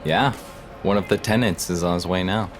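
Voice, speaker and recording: An actor playing a terse, understated police detective in audio drama dialogue. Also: male voice, low-pitched, normal speed, soft background music, close to an everyday voice. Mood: businesslike. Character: male police detective working a murder case.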